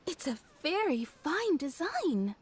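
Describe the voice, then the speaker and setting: A woman's voice: high voice, sing-song, slow, exaggerated voice. A woman voicing a courteous, businesslike shopkeeper in a video game, speaking politely and professionally. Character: courteous, businesslike woman running a kimono shop. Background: game music.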